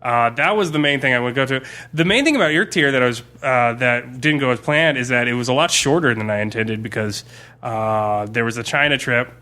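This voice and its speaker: A man's voice: medium pitch, brisk, sharp tone. A man in a casual podcast chat, telling stories as a game master in an informal, rambling way. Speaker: game master discussing a campaign he ran.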